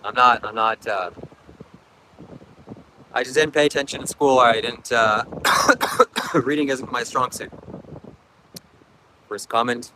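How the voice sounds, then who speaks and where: A man's voice: mid-range voice, slow, pauses often, bright, forceful tone, poor audio. A man with a Ukrainian accent, English not his first language, chatting casually on a beach livestream and reading comments, coughing often and rambling reflectively. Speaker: livestream host. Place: outdoors at a beach. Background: faint wind.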